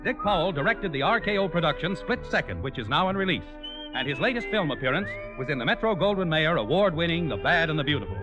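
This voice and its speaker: High, animated American male radio announcer. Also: quick pace, over music, low-quality recording. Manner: emphatic, polished salesman delivery. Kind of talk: 1950s scripted radio announcing and ad reads. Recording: old radio broadcast recording.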